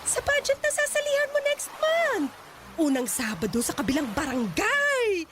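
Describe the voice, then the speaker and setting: A woman's voice: very high-pitched, wildly swooping pitch, moderate pace, noisy background, heavily acted. A woman voicing a pushy, domineering middle-aged stage mother who scolds and brushes off objections, in an audio drama. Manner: bossy, scolding, dismissive.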